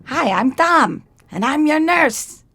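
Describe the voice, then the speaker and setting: A woman's voice: sits high, expressive, takes it slowly, fairly bright tone, no room sound, heavily acted. A woman playing a bold, blunt, confident female raccoon nurse in a comedic audio drama. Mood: eager, businesslike.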